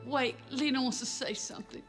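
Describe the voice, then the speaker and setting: A woman's voice: high-pitched, steady tone, brisk, over music, lightly acted. A woman playing an emotional, loving mother overwhelmed with relief in a dubbed film, speaking tenderly. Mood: relieved, joyful.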